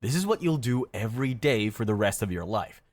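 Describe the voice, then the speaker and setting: Low-pitched man narrating a grim script. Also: lively intonation, brisk, no room sound. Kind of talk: scripted second-person dramatic narration.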